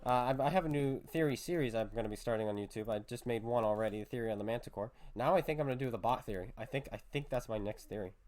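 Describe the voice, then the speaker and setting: A man's voice: medium pitch, quick pace, hardly any pauses, a little mellow. A man hosting a casual podcast, joking about himself and floating offhand theories. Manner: joking, self-mocking, theorizing. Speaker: podcast host.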